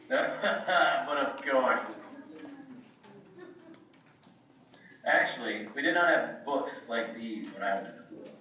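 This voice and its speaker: A man's voice: mid-range voice, expressive, unhurried, bright, forceful tone. A man acting an eager, boastful ancient Greek scientist puppet in a children's show, pompous and theatrical. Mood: fascinated. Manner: pompous, theatrical, self-admiring. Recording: echoey room, far from mic, faint noise.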